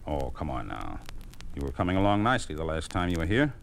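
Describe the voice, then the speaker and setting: An American man playing a principled, steady physician in a 1950s radio drama, on an old recording. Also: rumbling low, quick pace, vocal fry, slight background noise, heavily acted. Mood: concerned, professional. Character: principled, steady male physician in private practice.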